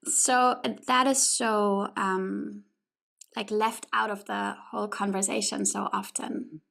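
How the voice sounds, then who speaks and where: A woman's voice: medium pitch, level tone, slow pace, vocal fry, fairly bright tone. A woman hosting a casual interview podcast, talking in an engaged, informal, conversational way. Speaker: podcast host.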